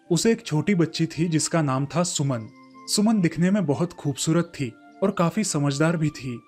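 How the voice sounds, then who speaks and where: Give the voice of a man telling a children's story from a script. His pitch sits a little high for a man. Light music plays softly behind him. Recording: faint music under the voice. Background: soft background music.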